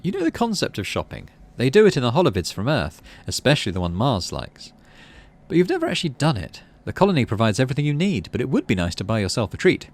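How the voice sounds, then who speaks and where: A man's voice: mid-range voice, huge pitch swings, quick pace. A man hosting a gaming video, reading story text expressively and adding his own casual commentary.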